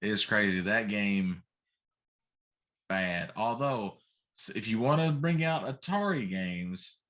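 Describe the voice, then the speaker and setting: A man's voice: lowish voice, animated, slow, a bit bright. A middle-aged man co-hosting a casual talk show, complaining in an emphatic, comically exaggerated way. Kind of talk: casual chat.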